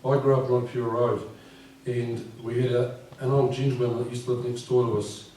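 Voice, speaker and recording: A man in his fifties with a croaky voice, reading his poems at a live event and speaking gently and unhurriedly. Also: mid-range voice, level tone, moderate pace. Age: 50s. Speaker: poet. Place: live venue with audience. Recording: echoey room from far off.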